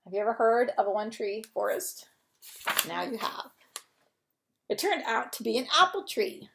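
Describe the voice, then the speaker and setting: Female storyteller, higher-pitched voice, expressive bedtime read-aloud for young children, slipping into chatty asides. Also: normal speed, vocal fry, noisy background. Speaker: storyteller reading a children's picture book.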